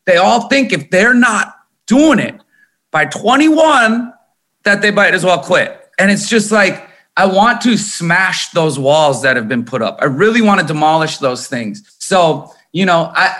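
Guy in his 30s, musician guest, bright forceful voice, fired up and swearing a lot. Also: sits high, measured pace. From Minnesota. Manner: animated, emphatic, swears often. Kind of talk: candid interview talk.

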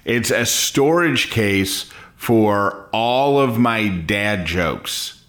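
A man playing a corny dad doing a stand-up routine with deliberate punchlines. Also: lowish voice, animated, fairly bright tone, exaggerated voice. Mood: playful. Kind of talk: stand-up comedy sketch. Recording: echoey club, noise and crowd.

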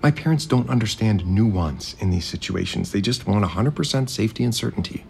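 A man's voice: fairly low pitch, lively intonation, brisk, vocal fry, a little mellow, a little hiss or hum. An actor playing a grieving, overwhelmed resort heir in an audio drama, hesitant and apologetic, placating whoever he talks to. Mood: evasive. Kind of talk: naturalistic audio drama acting.

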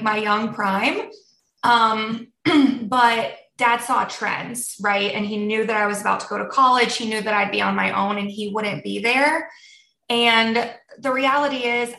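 A woman's voice: mid-range voice, vocal fry, reverberant, recorded from a distance, low-quality recording. A woman in her thirties, a podcast guest raised in the United States, telling her own story openly and reflectively, with frequent ums. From born in Germany, raised in the United States. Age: 30s. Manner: open and reflective, with many ums and soft laughs. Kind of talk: candid personal storytelling.

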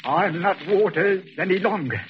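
An actor playing an insane, fanatical avenger who declaims ominously in 1940s radio suspense style. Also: male voice, sits high, animated, moderate pace. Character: deranged former asylum inmate, fanatical self-appointed angel of death. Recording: muffled old radio, faint hiss.

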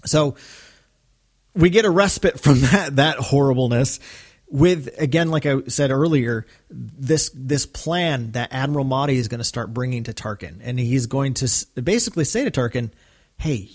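A male podcast co-host with an average-pitched voice. He explains things casually and agreeably, as conversational commentary. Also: normal speed, breathy edge.

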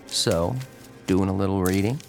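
A man's voice: low voice, steady tone, moderate pace, gravelly, soft background music. A man playing a friendly, easygoing young comic book fan in a radio drama, chatty and enthusiastic. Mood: casual.

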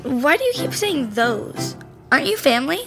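Curious young girl of ten, high voice, full of questions, audio drama with light music. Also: sing-song, moderate pace, gravelly, fairly bright tone, exaggerated voice.

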